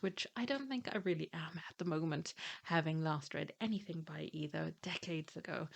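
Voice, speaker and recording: A woman's voice: sits low, creaky. A middle-aged woman who grew up in Malawi, Nigeria and Germany, presenting her reading plans on her book channel, reading blurbs steadily and adding chatty asides with fillers.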